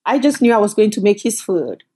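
A middle-aged woman from Cameroon, a company founder telling her story as a guest in an interview, lively and quick in bursts. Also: medium pitch, breathy edge. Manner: animated, storytelling, quick bursts, laughs often. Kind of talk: conversational interview.

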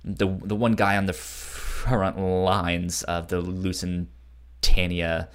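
A man co-hosting a podcast, talking casually and haltingly, often stammering over word starts. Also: low-pitched, little pitch movement, smooth. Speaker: podcast co-host. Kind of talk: casual book discussion chat.

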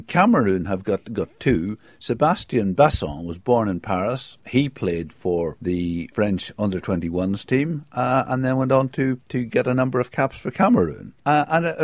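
A man's voice: medium pitch, darkish tone, dry recording. A man from the UK giving a conversational football report, enthusiastic, with hesitations and restarts. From United Kingdom.

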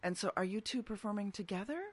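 A woman hosting a radio interview in a studio, chatty and quick to laugh, often restarting her sentences. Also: medium pitch, crowd noise. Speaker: radio host. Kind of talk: casual interview.

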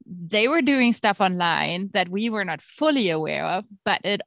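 German-accented woman, former teacher, earnest guest, mid pitch, dry sound. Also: lively intonation, normal speed, creaky, fairly bright tone, dry recording. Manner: earnest and open, laughs easily. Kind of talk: interview conversation.

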